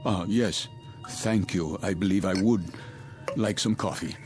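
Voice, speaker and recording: Low-voiced male passenger, uneasy, reserved, halting, formal, radio drama, faint music. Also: a little mellow. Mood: hesitant, guarded.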